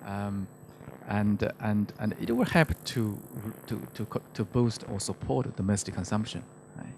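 A middle-aged man speaking as an economist on a panel, hesitant and halting, often repeating words into a handheld microphone. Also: fairly low pitch, steady tone, vocal fry, over music. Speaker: macroeconomist, conference panelist. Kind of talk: panel discussion, unscripted explanation. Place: conference stage, indoors. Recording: faintly noisy conference stage microphone.

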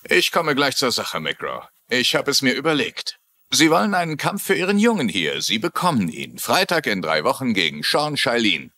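An actor playing a cold, condescending, businesslike fight promoter in a TV crime drama dub. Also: male voice, medium pitch, huge pitch swings, normal speed, bright, forceful tone. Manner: curt, condescending. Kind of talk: German-dubbed TV crime drama.

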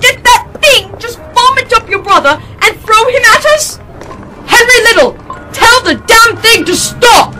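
An actress plays a woman at the end of her tether in a dramatized audiobook. Her voice is extremely high and shrill, swinging widely with indignation. Loud music runs underneath. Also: lively intonation, unhurried, poor audio. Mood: panicked, angry. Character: exasperated, panicked woman snapping at a madman.

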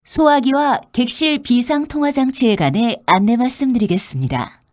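A woman reading scripted subway announcements in a formal, polite, clearly enunciated way. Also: a little high, normal speed, no echo, studio quality. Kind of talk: scripted public transit announcement.

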